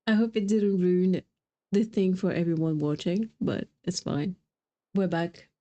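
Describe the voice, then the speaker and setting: A woman's voice: on the low side, fairly even intonation, slow pace, dark tone. A woman streamer giving casual, playful, informal live commentary on a game.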